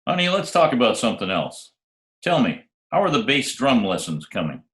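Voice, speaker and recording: A man's voice: medium pitch, moderate pace. An actor playing a wry, hard-boiled private detective who narrates his own case in an old-time radio drama. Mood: teasing. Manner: wry, deadpan wisecracking. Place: at home, recorded remotely. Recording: roomy remote home recording, music behind.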